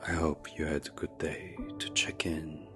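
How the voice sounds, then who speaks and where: A man hosting a guided sleep meditation, speaking softly and gently in a near-whisper with long pauses. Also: very deep voice, level tone, over music. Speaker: meditation podcast host.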